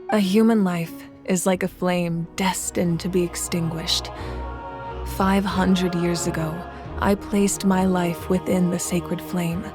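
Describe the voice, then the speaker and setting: A woman's voice: lowish voice, natural voice. A woman playing a grave, ancient fire ruler. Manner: measured, formal storytelling. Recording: game audio with loud music.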